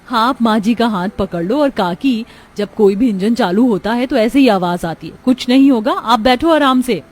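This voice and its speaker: A woman's voice: fairly high pitch, animated, normal speed. A woman playing a kind, educated young village daughter-in-law in a cartoon, speaking patiently and encouragingly. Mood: reassuring.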